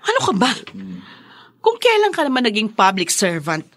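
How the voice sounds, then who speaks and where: Nagging wife for radio drama: Filipino, indignant, high and piercing, recorded close and dry. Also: female voice, huge pitch swings, normal speed, a bit bright, no echo, heavily acted. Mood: scolding. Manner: nagging, confrontational. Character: indignant, scolding wife of a drunkard.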